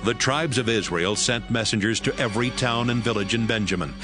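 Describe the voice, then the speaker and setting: A man narrating scripture as a measured, scripted storyteller in an audio Bible. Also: fairly low pitch, over music.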